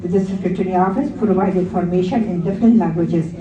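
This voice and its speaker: A woman from Afghanistan with an Afghan accent and Farsi as her first language gives a prepared public speech, halting in places, in slightly unidiomatic English. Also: on the low side, muffled recording, crowd noise. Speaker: community liaison speaking at an event. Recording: roomy echo, distant from the mic.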